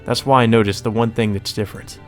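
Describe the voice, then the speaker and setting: Male let's player, low voice, narrates a visual novel with off-the-cuff asides over clearly audible music. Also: steady tone, fast. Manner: slips into character dialogue, ad-libbed asides. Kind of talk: reading visual novel aloud with casual commentary. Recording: music behind the voice. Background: game music.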